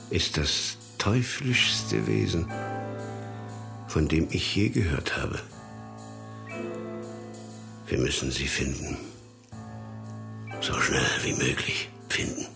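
An actor playing an emphatic, indignant senior police official in a radio crime drama, with music behind him. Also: male voice, rumbling low, expressive, takes it slowly, vocal fry, long pauses, full character voice. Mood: grim, urgent.

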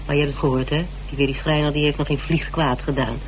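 A woman's voice: low voice, level tone, fast talker, lightly acted. A woman in a Dutch radio drama playing a shrewd, composed investigator with a probing, matter-of-fact manner. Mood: blunt, persuasive. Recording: noisy, humming old radio recording.

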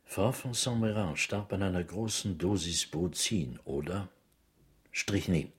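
Sombre, very husky male doctor. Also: low-pitched, measured pace, exaggerated voice. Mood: grave, probing. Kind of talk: German radio drama acting.

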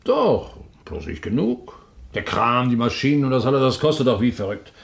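An actor playing a chatty, awkward older farm manager with a folksy, rambling way of talking in a radio crime drama. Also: male voice, mid-range voice, wildly swooping pitch, moderate pace, gravelly. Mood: grumbling. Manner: rambling, folksy, often quotes his wife.

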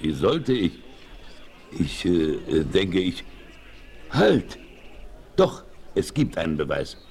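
A man's voice: low voice, sing-song, takes it slowly, a little mellow, exaggerated voice. An actor playing an edgy, embittered old frontiersman in a radio play, plain-spoken and matter-of-fact. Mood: agitated. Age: older. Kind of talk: 1960s German radio play acting. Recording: faint noise, old vinyl record.

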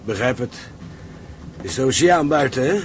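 A man acting a gruff, seasoned seaman in a Dutch radio drama, blunt and philosophical. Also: mid-range voice, animated, normal speed, slight background noise, close to an everyday voice. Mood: inquiring.

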